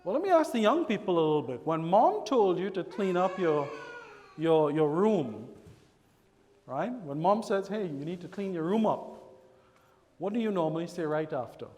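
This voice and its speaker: A man with a Trinidadian accent preaching a sermon, animated, acting out church members in quoted voices. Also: sits high, normal speed, big-room sound. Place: church sanctuary.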